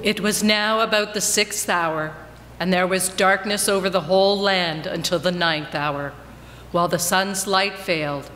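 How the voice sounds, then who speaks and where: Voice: medium pitch, steady tone, unhurried, bright, forceful tone. A person giving a solemn dramatic reading of scripture as a reader at a church service. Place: large church interior before a congregation. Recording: long reverberant church hall, background noise.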